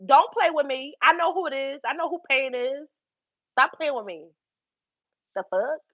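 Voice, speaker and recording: A young woman with a Philadelphia accent telling a story on her livestream, animated and swearing freely between laughs. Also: sits high, moderate pace, dry recording, muffled recording. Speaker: YouTube livestream host. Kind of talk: casual livestream storytelling to chat.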